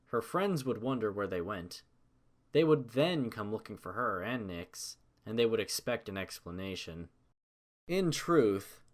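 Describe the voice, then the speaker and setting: A man reading scripted story narration in a measured, dramatic manner. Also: lowish voice.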